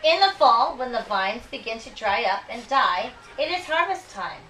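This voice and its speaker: A woman teaching young children, reading aloud expressively and deliberately and checking their understanding with questions. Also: a little high, sing-song, pushed and bright. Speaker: elementary school teacher. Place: school classroom. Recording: noisy classroom, distant microphone.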